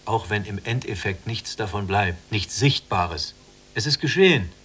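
An actor playing an earnest, idealistic younger prisoner in a literary radio play, searching and pleading in manner. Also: male voice, on the low side, animated, measured pace, a bit bright, slightly rough, exaggerated voice. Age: young adult.

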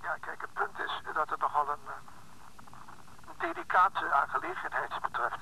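Fussy, hesitant notary, swooping and creaky. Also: male voice, high-pitched, wildly swooping pitch, background noise, heavily acted. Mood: careful, halting. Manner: halting, formal, cautious. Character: hesitant, fussy, discreet male notary on the telephone. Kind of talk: Dutch radio play acting.

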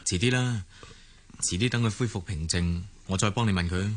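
Man playing a lowish-voiced, sceptical young Cantonese man. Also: moderate pace, natural voice. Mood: reassuring. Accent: Hong Kong Cantonese. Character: down-to-earth young grandson, loyal and sceptical friend. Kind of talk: radio drama acting.

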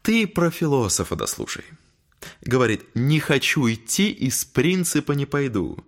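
An actor playing a mocking, talkative gentleman devil with ironic, theatrical storytelling in an audio drama. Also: medium pitch, sing-song, a little slow. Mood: playful, teasing.

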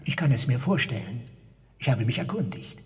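An actor playing a fearful, self-abasing car park attendant who pleads in formal, stilted phrases, in a German radio drama. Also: male voice, medium pitch, sing-song, moderate pace, close to an everyday voice. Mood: earnest, anxious. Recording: long hall reverb.

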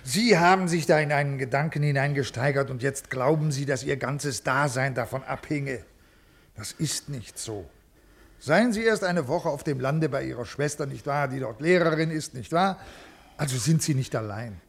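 A man's voice: mid-range voice, normal speed, creaky. An actor playing a rigid, ailing male bureaucrat in a 1950s radio play, officious, formal and brusque. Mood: lecturing, dismissive.

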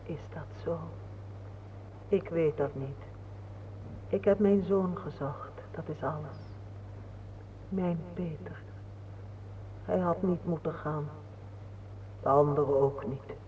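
A woman acting a gentle, serene older mother who grieves her fallen son, speaking patiently and solemnly in a radio drama. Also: sits low. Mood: tender, weary. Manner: slow, patient, consoling. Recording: muffled, noisy old radio play recording.

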